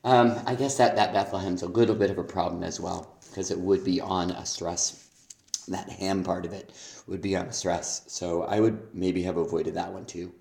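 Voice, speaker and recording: Hoarse low-voiced man teaching, echoey room. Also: steady tone, a little mellow. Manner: hesitant, many ums, speaks lyrics aloud slowly. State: hoarse from a cold, laryngitis. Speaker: songwriting teacher. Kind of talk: spoken feedback on a student's lyrics.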